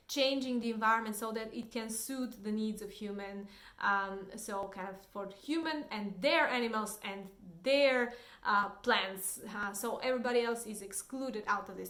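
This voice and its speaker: A woman's voice: medium pitch, fairly bright tone. A woman with a Bulgarian accent explaining ideas informally as a book reviewer. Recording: roomy, echoing indoor sound.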